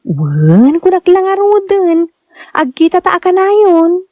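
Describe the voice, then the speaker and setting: A woman's voice: very high voice, wildly swooping pitch, takes it slowly, warm tone, no room sound, highs cut off, full character voice. A woman with a Filipino accent playing a flirtatious, defensive cheating wife in an audio drama, coy and dismissive.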